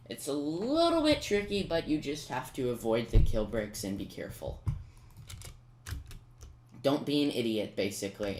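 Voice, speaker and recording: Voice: medium pitch, echoey room, a little hiss or hum. A gaming commentator giving casual step-by-step gameplay guidance, informal and talking directly to the viewer. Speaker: video game commentator.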